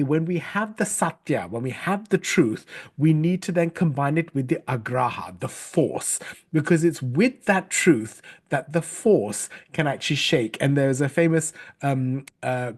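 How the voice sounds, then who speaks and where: A middle-aged man from Sri Lanka, giving a recorded training talk as a storytelling coach, earnest and measured, with frequent fillers and restarts. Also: a little high, a little mellow. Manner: spells out words letter by letter.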